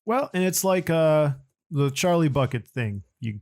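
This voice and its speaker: A man's voice: on the high side, lively intonation, a little slow, a little mellow, dry recording, clean studio sound. A man hosting a podcast, interviewing guests in a casual conversational style. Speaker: podcast host.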